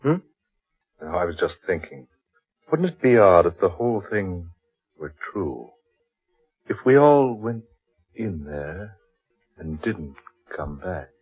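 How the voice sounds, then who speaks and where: A man's voice: low-pitched, big pitch swings, takes it slowly, long pauses, faint music behind, full character voice. A man playing an ominous, superstitious old man in an old radio horror play, grave and theatrical. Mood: brooding. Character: ominous old man who believes in eerie legends. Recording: thin, phone-like old radio recording.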